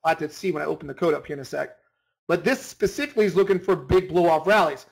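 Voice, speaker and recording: A man's voice: fairly high pitch, fast, vocal fry, slightly rough. A man leading an informal screen-share tutorial as a trading instructor, talking emphatically, repeating himself and checking that listeners follow.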